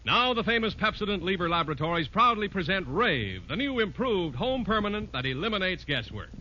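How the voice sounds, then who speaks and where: Old time radio ad guy, super lively pitch, bright voice, muffled recording. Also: a little fast. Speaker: commercial announcer. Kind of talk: polished 1950s radio ad read.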